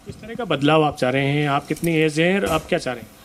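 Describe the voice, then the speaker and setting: A man reporting in the field, asking protesting young men questions, with halting fillers. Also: fairly high pitch, soft background music. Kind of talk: street interview questions.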